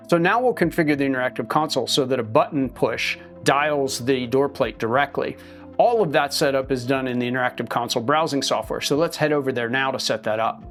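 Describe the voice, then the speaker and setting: A man narrating a step-by-step software tutorial as a training instructor, explaining things in a clear, methodical way. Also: mid-range voice.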